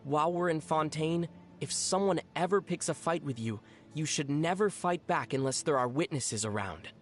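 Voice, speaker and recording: An actor voicing a calm, teasing young adventurer in a video game, with a dry, knowing manner. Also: medium pitch, moderate pace, soft background music, close to an everyday voice. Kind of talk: video game character voice acting.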